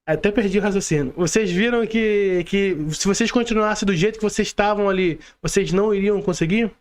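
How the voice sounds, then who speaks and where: Podcast interviewer: Brazilian male, clearly high register, informal chatty delivery. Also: a little fast. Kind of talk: casual conversational interviewing.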